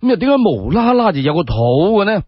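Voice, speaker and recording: Cantonese man, radio storyteller, expressive lively intonation, rough-edged voice, colloquial narration, dry lo-fi recording. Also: sits high, a little fast, dry recording. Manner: expressive, explanatory. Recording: slightly dull, lo-fi, dry sound.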